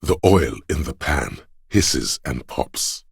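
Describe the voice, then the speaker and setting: A man with a gravelly, husky voice reading scripted literary narration for a video game in a measured, solemn, deliberate way. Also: extremely low pitch, big pitch swings, no echo, clean studio sound.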